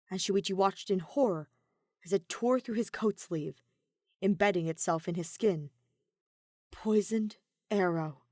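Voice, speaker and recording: A woman's voice: lowish voice, a little mellow, no room sound, studio quality. A woman reading scripted fiction as an audiobook narrator in a dramatic style.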